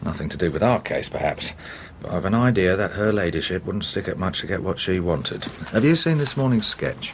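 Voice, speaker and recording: An actor playing a blunt, jocular English chief inspector in a radio drama, matter-of-fact and colloquial. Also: male voice, deep voice, animated, a little fast, slight background noise. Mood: wryly teasing.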